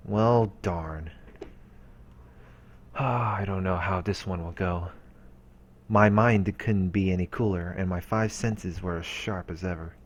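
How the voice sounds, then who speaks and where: A man's voice: low voice, slow, pauses often, slight background noise. A man reading visual novel text aloud as a let's play host, in a narrating style. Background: soft game music.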